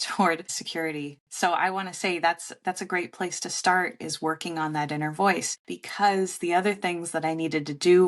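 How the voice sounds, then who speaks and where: A woman's voice: lowish voice, a bit bright. A woman guest on a podcast interview, talking candidly and often laughing at herself. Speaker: guest, relationship author.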